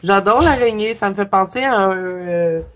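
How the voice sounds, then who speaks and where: A woman with a Québécois French accent talks casually to her viewers about coloring books, her voice stuffy from a cold. She rambles in a relaxed way, full of little fillers and giggles, with a middle-pitched voice. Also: moderate pace. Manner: chatty with frequent "euh" fillers and soft giggles. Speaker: coloring vlogger. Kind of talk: casual chat flipping through a coloring book.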